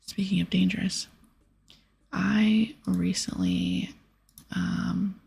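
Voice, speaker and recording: A woman whispering in a breathy ASMR style as she casually plays through a game, chatting softly and reading dialogue aloud. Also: fairly low pitch, very animated, slow pace. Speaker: host of an ASMR gaming series.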